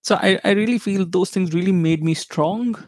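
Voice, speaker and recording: A man's voice: high voice, moderate pace, no echo, studio quality. A man from India whose first language is Tamil, speaking as a guest in a casual interview and often repeating words as he searches for them. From India, Chennai.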